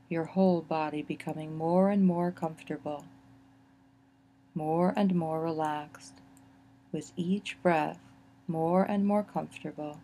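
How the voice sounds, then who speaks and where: Low, mellow woman guiding relaxation. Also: slight background noise. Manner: gentle, soothing, unhurried phrasing with pauses. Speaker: guided meditation narrator. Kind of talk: slow guided imagery relaxation narration.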